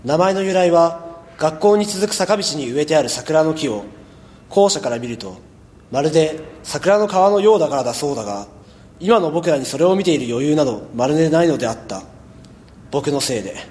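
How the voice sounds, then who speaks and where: Guy as a laid-back high school boy in a radio drama, highish voice, dry sarcasm, echoey. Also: animated, a little fast, darkish tone, natural voice. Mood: wry, reflective. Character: laid-back, wry teenage high school boy narrating his life. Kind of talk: amateur radio drama narration and acting. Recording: echoey, long reverb.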